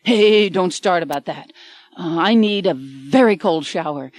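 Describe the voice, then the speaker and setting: A woman's voice: lowish voice, animated, a little slow, a little mellow, dry recording. A hungover male writer, flustered and exasperated, grumbling his way through a baffling morning, as a woman narrator puts him on. The sound is dry and close.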